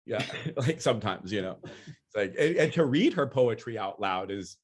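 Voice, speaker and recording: Low-voiced man, relaxed and rambling. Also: moderate pace, breathy edge. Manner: relaxed, many "you know" fillers, laughs easily. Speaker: featured speaker, poet. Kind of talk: casual talk and Q&A.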